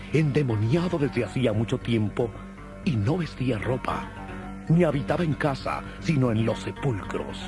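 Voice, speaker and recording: Male narrator for scripture drama: medium register, solemn and measured, reading over light music. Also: mid-range voice.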